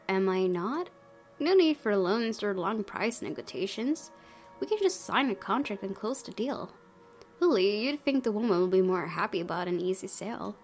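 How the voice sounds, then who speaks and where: A woman hosting a let's play, reading visual novel text aloud and adding casual comments. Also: mid-range voice. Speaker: gaming video host. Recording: game music under the voice.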